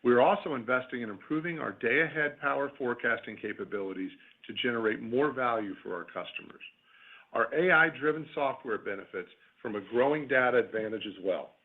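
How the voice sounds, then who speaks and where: A man, a company executive, reading a scripted earnings call presentation in a formal, measured corporate way. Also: medium pitch, animated. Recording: tinny narrowband phone conference line.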